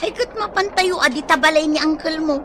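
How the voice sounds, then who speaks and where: A woman's voice: high-pitched, fairly quick, lightly acted. A woman with an Ilocano accent acting an exasperated, nagging wife and mother in a radio drama. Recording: noisy ambience behind the voice.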